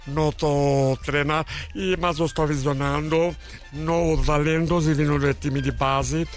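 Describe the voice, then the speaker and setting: Guy doing a foreign football coach, fumbles his portuguese with spanish bits, fairly high voice, music under it. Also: steady tone, lightly acted. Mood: rambling. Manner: halting, mixing Spanish and Italian-sounding words. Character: middle-aged male football coach mangling Portuguese with Spanish.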